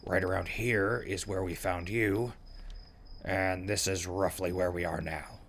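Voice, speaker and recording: Male actor as wasteland radio survivor: low, slightly gravelly, casual, dryly sarcastic, naturalistic. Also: fairly even intonation, measured pace, a bit bright, a little hiss or hum. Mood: businesslike. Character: wry, weary male wasteland survivor running a radio station. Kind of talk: naturalistic audio drama acting.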